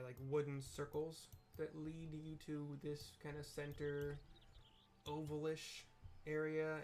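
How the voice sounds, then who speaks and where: A man with an American accent casually narrating a video game playthrough, describing scenes in a hesitant, rambling way. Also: mid-range voice. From US Pacific Northwest.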